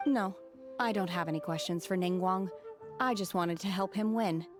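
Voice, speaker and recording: A woman's voice: mid-range voice, normal speed, close to an everyday voice. A woman playing an aloof, solemn, socially awkward young woman in a video game, terse and reserved. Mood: detached. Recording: clear background music under the voice.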